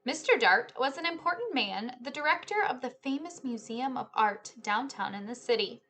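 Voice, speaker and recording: Woman, thirties, bright forceful tone, slightly high pitch, children's read-aloud, explanatory. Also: expressive, moderate pace. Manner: addresses listeners as friends, explanatory. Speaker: teacher-style read-aloud host. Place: small living room or home office, indoors.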